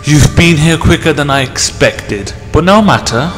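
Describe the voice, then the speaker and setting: A man's voice: fairly high pitch, lively intonation, measured pace, a bit bright, big-room sound, soft background music. A man playing a flippant, unflappable time-travelling adventurer in a fan audio drama, breezy and teasing.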